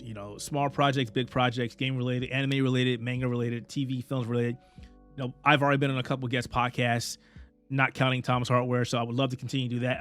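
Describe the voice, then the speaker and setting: A man of middling pitch talks straight to camera about his small outlet, earnest and rambling, tripping over words and circling back as he goes. His voice is wearing thin, and faint music plays underneath. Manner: rambling, earnest, stumbles and restarts often. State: losing his voice. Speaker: head of a small gaming and anime media outlet. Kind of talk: casual talk to camera.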